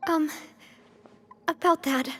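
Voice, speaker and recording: An actress voicing a poised, sincere young woman who leads a gang, in measured, polished game dialogue. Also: high-pitched, steady tone. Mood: sorrowful. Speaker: video game character. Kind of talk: dramatic game dialogue acting.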